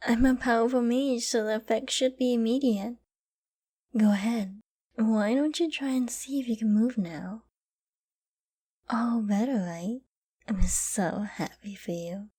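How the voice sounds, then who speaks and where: Woman acting a slow, breathy possessive fox-girl. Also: a little high. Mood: pleased, tender. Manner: soft, slow, breathy, often dropping to a whisper. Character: possessive, lovestruck, dominant yandere fox-girl mage. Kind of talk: intimate ASMR roleplay acting.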